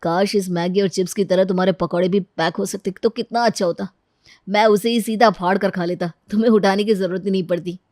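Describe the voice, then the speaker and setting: A man's voice: high-pitched, fairly even intonation, fairly quick, a little mellow, no echo, clean studio sound, lightly acted. A man voicing a playful young husband in a storybook, coaxing and teasing as he begs for food. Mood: wistful, cajoling. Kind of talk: acted Hindi audio storybook dialogue.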